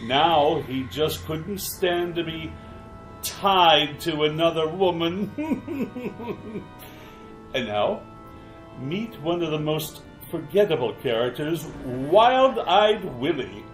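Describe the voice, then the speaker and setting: A man playing a gleeful, ghoulish horror host in a retro radio drama, theatrical and drawn-out with mock courtesy. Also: fairly high pitch, expressive, echoey room, soft background music, rough recording. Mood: gleefully macabre.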